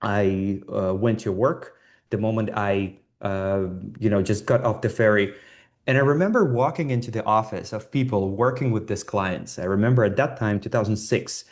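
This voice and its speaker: Male, 40s, low voice. Candid, self-deprecating storyteller giving an informal designer talk. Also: moderate pace. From Estonia.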